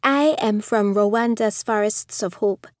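Woman, formal measured announcer, dry close studio sound. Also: medium pitch, dry recording. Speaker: representative. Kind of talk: scripted roll-call reading.

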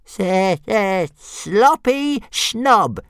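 A man voicing a small, cheeky young male dragon who stammers in a high, squeaky voice. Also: lively intonation, very slow, a bit bright, smooth, dry recording, clean studio sound, heavily acted. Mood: cheeky stammering.

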